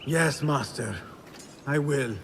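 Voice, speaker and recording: A man's voice: medium pitch, takes it slowly, vocal fry, noisy background. An actor playing an eager, earnest young male apprentice assassin, respectful in manner, in a video game cutscene.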